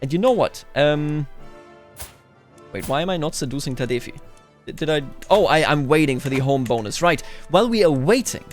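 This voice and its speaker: A man's voice: on the high side, lively intonation, normal speed. A man gives casual roleplay gameplay commentary, musing aloud and slipping into in-character boasting. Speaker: video game let's player. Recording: background music under the voice. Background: music.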